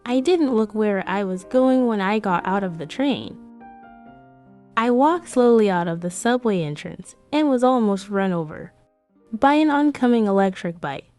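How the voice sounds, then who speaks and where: A woman's voice: mid-range voice, expressive, measured pace, a little mellow, close to an everyday voice. A woman voicing a heartbroken young office worker who narrates her breakup in a romance comic dub. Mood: dazed, sad. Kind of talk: scripted first-person comic dub narration. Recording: music behind the voice.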